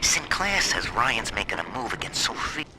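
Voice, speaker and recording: An eager tabloid newsman, played by a male actor in a game audio diary. He sounds sleazy and gossipy, his pitch lively and varied, with noticeable noise behind him. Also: moderate pace, lightly acted. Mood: scheming excitement.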